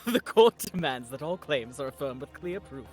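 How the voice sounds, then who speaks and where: A man voicing a stern older male judge who hands down measured rulings. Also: medium pitch, very animated, lightly acted. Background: game music.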